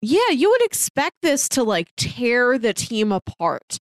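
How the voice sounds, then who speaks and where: A woman's voice: on the high side, wildly swooping pitch, a little slow, dry recording, studio quality. A woman co-hosting a podcast, discussing a film casually and explaining her points with emphasis. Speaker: podcast co-host reviewing a film.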